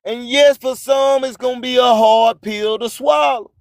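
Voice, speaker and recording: A man's voice: extremely high pitch, fairly even intonation, measured pace, no room sound, clean studio sound. A man announces a radio show in a boastful, theatrical manner, repeating phrases for effect. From US Gulf Coast. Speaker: radio host. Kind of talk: hype radio station announcing over music.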